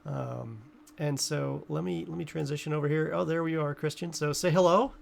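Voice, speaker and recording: A man's voice: fairly high pitch, steady tone, moderate pace, gravelly, a little hiss or hum. A man hosting a casual interview, loose and full of fillers as he asks questions. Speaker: show host. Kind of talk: casual chat.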